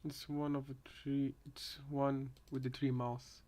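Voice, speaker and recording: A man's voice: mid-range voice, little pitch movement, unhurried, warm tone. A man chatting casually on a live reaction stream, rambling hesitantly and often repeating his phrases. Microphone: headset.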